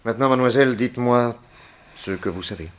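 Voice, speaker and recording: An actor playing a calm, authoritative male detective in a 1960s French radio drama, deliberate and courteous. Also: medium pitch, slight background noise, natural voice. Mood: grave, probing.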